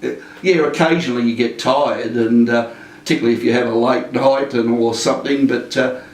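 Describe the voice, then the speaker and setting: An older man, a retired police drill instructor, being interviewed in a casual, rambling way with many fillers and restarts and a naturally loud voice. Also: medium pitch, steady tone, fairly quick. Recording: echoey room, mic at a distance.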